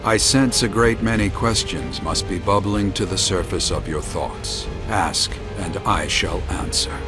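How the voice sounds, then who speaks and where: An actor playing a wise, self-deprecating old former hero in a video game, speaking in formal, archaic, measured diction. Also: male voice, sits low. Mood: cryptic. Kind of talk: scripted video game acting. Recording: music behind the voice.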